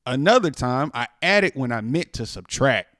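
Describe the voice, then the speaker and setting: A man reading a children's picture book aloud in an expressive way, stretching words for dramatic emphasis. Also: medium pitch, moderate pace, no room sound, clean studio sound. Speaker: storytime reader.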